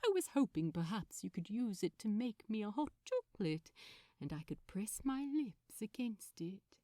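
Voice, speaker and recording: A woman voicing a sweet, gently flirtatious man who talks politely and teasingly in a fan fiction reading. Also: mid-range voice, expressive, normal speed, no echo, studio quality, close to an everyday voice. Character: sweet, gently flirtatious male gift-wrapper. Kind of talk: fan fiction audiobook dialogue.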